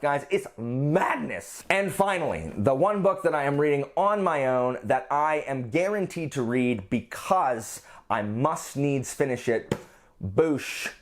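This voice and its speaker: Male book vlogger, voice on the higher side, chatty and informal, effusive and emphatic delivery. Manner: gushing, emphatic, repeats phrases for emphasis. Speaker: book reviewer and channel host. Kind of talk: casual chatty book vlog.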